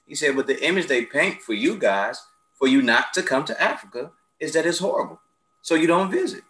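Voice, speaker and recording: A man's voice: on the high side, fairly quick. A man in casual conversation, telling stories animatedly and acting out the people he quotes. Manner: animated storyteller, acts out quoted friends. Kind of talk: casual chat, storytelling. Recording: echoey room.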